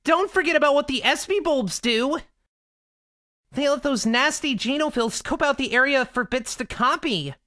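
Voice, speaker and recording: A woman voicing a perky, chatty young squad member in a visual novel, casual and expressive. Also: high-pitched, normal speed. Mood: eager.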